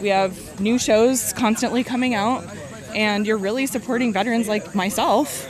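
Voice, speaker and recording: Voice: medium pitch, steady tone, moderate pace. A veteran in their thirties speaking in a casual interview while on a group hike, friendly and full of fillers like "um" and "you know.". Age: 30s. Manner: friendly, lots of fillers, laughs easily. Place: outdoors on a group hike along a road. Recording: outdoor crowd noise around.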